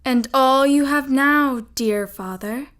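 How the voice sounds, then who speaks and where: Woman as a clear-voiced, vengeful child. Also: high voice, slow, a bit bright. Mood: cold, triumphant. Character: grim, vengeful child of a villain father. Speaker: actress in an audio drama. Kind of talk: melodramatic horror radio play acting.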